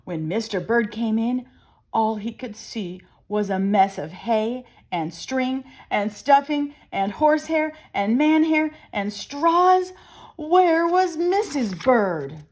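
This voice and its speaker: A middle-aged woman reading a children's picture book aloud as storyteller, expressive and deliberate. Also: on the high side. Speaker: storyteller and channel host.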